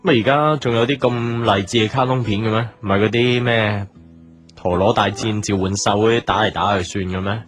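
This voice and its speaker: A man's voice: lowish voice, steady tone, fairly quick, close to an everyday voice. Subdued, reflective big brother played by a man. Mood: reassuring. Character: patient, restrained, lovelorn big brother and narrator. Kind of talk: audio drama dialogue and reflective narration. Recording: soft guitar music behind.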